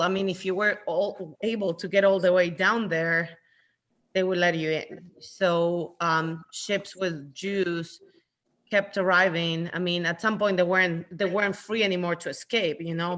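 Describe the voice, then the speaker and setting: A woman from Chile leading an online book club discussion, thoughtful and halting, with many fillers. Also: on the low side, a bit bright. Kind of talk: casual online group discussion. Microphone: video call.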